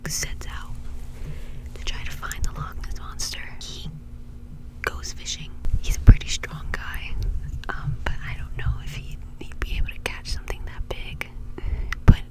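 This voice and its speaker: A woman's voice: unhurried, rough recording. A woman whispering breathily as she hosts an ASMR video, telling a story in a casual, conversational way. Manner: casual, informal, conversational phrasing.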